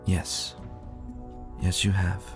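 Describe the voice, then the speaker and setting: Male reader as sad pony queen and aunt in fantasy audio story: soft-spoken, measured, regal, grieving. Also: low voice, monotone, music in the background. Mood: regretful. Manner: hushed, solemn, measured. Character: gentle, sorrowful female royal pony, loving older aunt. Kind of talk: dramatic fan fiction reading.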